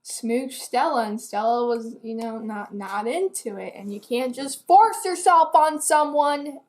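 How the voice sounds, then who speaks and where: A woman's voice: a little high, a little slow, a little mellow. An eighteen-year-old woman giving a casual, rambling book review to camera in a candid, self-deprecating, hesitant way.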